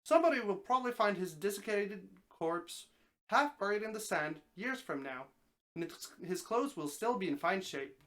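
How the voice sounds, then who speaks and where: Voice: mid-range voice, expressive, normal speed, a bit bright. A person giving a live dramatic reading of a story, narrating expressively with the occasional stumble and repeated phrase. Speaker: streamer reading a story aloud.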